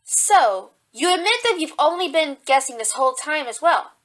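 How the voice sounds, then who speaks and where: A woman's voice: high-pitched, very animated, a bit bright. A woman acting a determined young rabbit police officer, arguing assertively in a courtroom audio drama. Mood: insistent. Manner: assertive, deliberate, argumentative.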